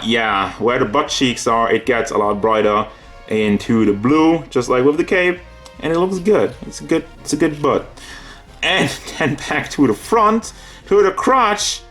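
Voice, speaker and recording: A man's voice: medium pitch, animated, normal speed, a bit bright. Casual man reviewing figures over music. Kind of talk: casual unscripted product review. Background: background music.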